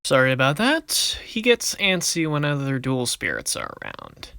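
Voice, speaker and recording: A man's voice: medium pitch, wildly swooping pitch, moderate pace, gravelly, bright, forceful tone, clear, resonant tone, dry recording, clean studio sound, full character voice. A man voicing a confident, wisecracking young card duelist in an anime fan production, casual and theatrical. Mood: casual, wry. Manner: casual, wry, theatrical when announcing moves.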